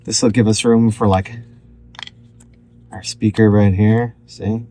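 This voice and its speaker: A man's voice: fairly low pitch, level tone, takes it slowly, mellow, slight background noise. A man casually walking through a DIY electronics project, thinking aloud in an offhand, loosely phrased way. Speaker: electronics hobbyist host.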